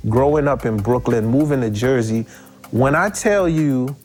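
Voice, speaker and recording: A middle-aged man, telling stories in an interview in slangy, animated talk full of rhetorical questions. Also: mid-range voice, moderate pace. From Haiti. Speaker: musician guest. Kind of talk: relaxed storytelling interview.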